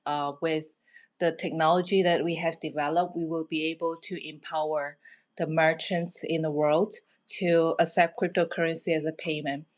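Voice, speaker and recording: A woman speaking as a guest on a panel, introducing herself and her company with many hesitant filler sounds. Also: deep voice.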